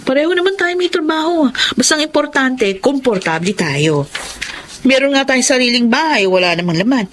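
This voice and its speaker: An actress playing an ambitious, charming young working wife in a Tagalog radio drama, speaking with a Filipino accent. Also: female voice, sits high, very animated, normal speed, slight background noise. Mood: cheerful, proud. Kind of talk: Tagalog radio drama acting, with first-person narration.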